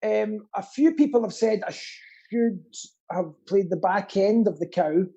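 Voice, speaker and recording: A man's voice: high voice, unhurried, warm tone, slightly rough, muffled recording. A man chatting casually as a guest, rambling and earnest, with a self-deprecating streak. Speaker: guest, pantomime actor and theatre stagehand. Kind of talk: casual chat.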